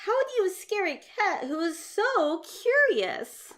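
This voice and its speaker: A woman reading a rhyming children's storybook aloud as narrator, in a theatrical, expressive and measured way. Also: extremely high pitch.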